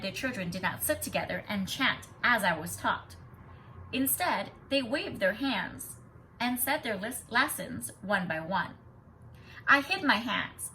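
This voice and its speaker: A woman with a bright voice reads aloud as a kindergarten teacher. Her storytelling is emphatic and instructive, made for young children. There is faint noise in the background. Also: medium pitch, rough recording. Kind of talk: expressive children's read-aloud with explanations.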